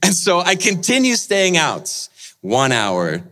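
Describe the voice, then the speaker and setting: A man preaching as he tells a dramatic personal story, swinging between lively and hushed delivery. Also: sits high, slow. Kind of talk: sermon storytelling.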